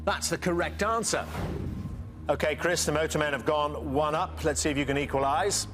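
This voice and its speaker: A man hosting a studio quiz show, welcoming players, explaining rules and reading out questions in a brisk, upbeat way. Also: a little high, fairly bright tone, soft background music.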